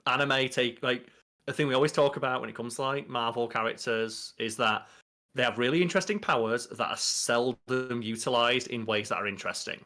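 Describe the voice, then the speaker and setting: A man's voice: medium pitch, fairly quick, bright, forceful tone. A man, around his thirties, co-hosting a casual podcast, explaining things in an emphatic, sweary way. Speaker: podcast co-host. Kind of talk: casual banter, explaining pop culture.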